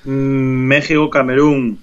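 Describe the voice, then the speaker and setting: A man's voice: mid-range voice, little pitch movement, slow, muffled recording. A man who is a guest on a casual radio sports panel, talkative and rambling, often restarting his sentences. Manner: talkative, rambling, with many restarts and self-corrections.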